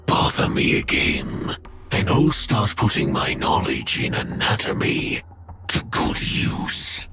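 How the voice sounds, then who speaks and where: An actor playing a menacing machine villain in a video game, mocking and condescending toward humans. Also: male voice, rumbling low, lively intonation, slow, gravelly, background noise, full character voice. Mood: cold menace. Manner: taunting, condescending. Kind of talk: dramatic video game voice acting.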